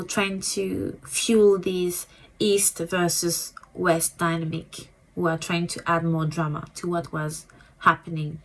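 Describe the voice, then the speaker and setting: A woman with a French accent talks through a memoir she has read, in a loose and rambling way. She often repeats herself and pauses with ums, and her voice sits slightly low. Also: a bit bright, poor audio. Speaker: booktuber. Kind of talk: casual book review.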